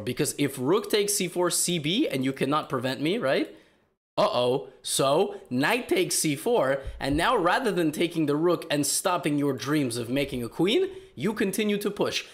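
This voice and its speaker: Hoarse young man commentating chess. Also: a little high. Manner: animated, joking, hesitant fillers. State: hoarse from being sick. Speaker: chess video host. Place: small room indoors.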